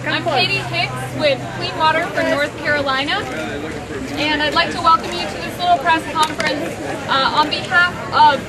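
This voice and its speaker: A woman's voice: high-pitched, fairly even intonation, moderate pace. A woman hosting an outdoor press conference as an organizer, raising her voice with no sound system and using some fillers. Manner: speaking up without amplification, friendly with fillers. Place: outdoors on a college campus, in a crowd. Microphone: recorder at a distance, no sound system. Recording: outdoor crowd and loud traffic noise.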